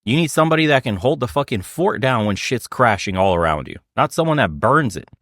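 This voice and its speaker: A man's voice: mid-range voice, lively intonation, a little fast, a bit bright, dry recording, clean studio sound. A man hosting a reaction show who comments bluntly, mocking and swearing with scorn. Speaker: host reacting to clips.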